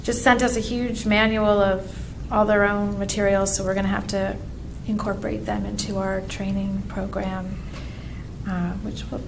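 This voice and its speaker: A hoarse woman giving a registrar's report at a town meeting, chatty and full of fillers and restarts. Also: mid-range voice, flat delivery, normal speed, noisy background. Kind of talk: spoken report at a public meeting.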